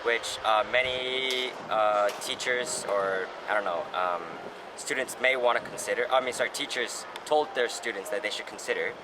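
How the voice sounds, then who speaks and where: A man's voice: fairly low pitch, normal speed. A young man hosting a casual chat, talking hesitantly with lots of fillers and restarts. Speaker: host. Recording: noisy outdoor street.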